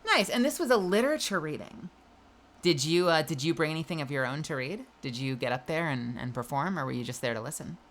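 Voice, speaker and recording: A woman with a noticeably nasal, slightly low voice chats loosely on her live stream. Her pitch swings widely between words, and she drops the odd swear as she greets viewers and reacts to chat. The delivery is relaxed and conversational. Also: a little fast. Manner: chatty and loose, frequent swearing and laughs. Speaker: livestream host.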